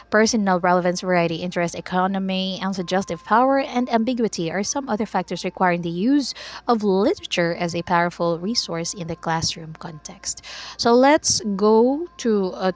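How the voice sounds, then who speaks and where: A woman from the Philippines with a Filipino English accent, lecturing on teaching English and filling her talk with "uh" and "okay" checks. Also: mid-range voice. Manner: explanatory, frequent fillers and restarts, checks in with "okay". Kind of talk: recorded video lecture.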